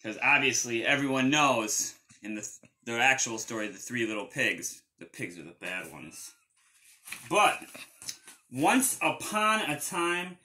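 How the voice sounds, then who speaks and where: Bright-voiced man reading a story, unhurried. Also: medium pitch, a little hiss or hum, rough recording. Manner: dramatic, drawn-out emphasis. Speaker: read-aloud storyteller. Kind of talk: expressive children's story reading. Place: indoors against a panelled wall.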